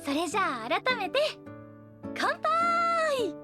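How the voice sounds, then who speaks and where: A woman's voice: very high voice, sing-song, slow, music in the background, heavily acted. A woman voicing a carefree young woman in a Japanese audio drama, easygoing and blithe in casual speech. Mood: cheerful. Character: carefree, airy young woman, innocently teasing friend.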